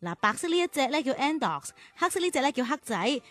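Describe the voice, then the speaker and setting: A woman playing a patient class teacher, gently chiding and explaining things in a children's radio drama. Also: high-pitched, big pitch swings, fast talker, full character voice. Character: patient young woman class teacher guiding cartoon calves.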